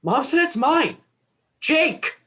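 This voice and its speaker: A woman's voice: high-pitched, very animated, takes it slowly, fairly bright tone, full character voice. A woman playing a defiant, blunt young boy in a dramatic script reading. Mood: whiny, indignant. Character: defiant young boy squabbling over a blanket and fort.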